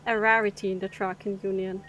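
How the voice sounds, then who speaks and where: A woman's voice: medium pitch, little pitch movement, a little fast, fairly bright tone, lo-fi sound, lightly acted. A woman playing a frank, rueful cargo captain in an audio drama, talking in a matter-of-fact way.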